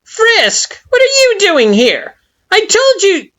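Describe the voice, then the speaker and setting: A woman voicing a small spiteful male flower creature with a high-pitched, derisive, mocking voice. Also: huge pitch swings, slow pace, fairly bright tone, full character voice. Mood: sneering. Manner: shrill mocking sing-song, taunting.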